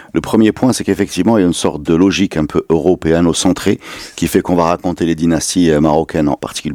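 Host guy with a really low mellow voice, chatty and teasing. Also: no room sound. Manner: lively, teasing, quick interjections. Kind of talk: casual discussion.

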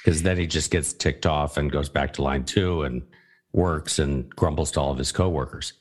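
Male host, extremely deep voice, folksy and conversational. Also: fairly even intonation, moderate pace. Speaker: podcast host. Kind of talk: conversational interview.

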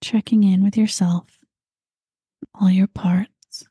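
A woman guiding a meditation, talking slowly and calmly and at times dropping into breathy whispers. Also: medium pitch, dry recording, clean studio sound. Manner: slow, soft and calm, drifting into breathy whispers. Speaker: meditation guide. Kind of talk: guided meditation.

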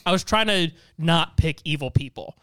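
A man co-hosting a casual podcast chat, talking in halting bursts peppered with "like". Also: a little high, sharp tone, clean tone, dry recording, studio quality. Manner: halting, heavy use of "like". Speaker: podcast co-host.

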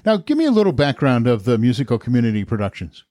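An American man speaks as a podcast host in a conversational interview. His pitch is average, and the sound is dry, with very little room around it. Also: very animated, quick pace, dark tone, no room sound.

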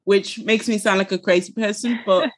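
A woman's voice: medium pitch, fairly even intonation, normal speed. Young yorkshire woman chatting away, laughs a lot, guest on an interview. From Finland, raised in England. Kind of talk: casual interview talk.

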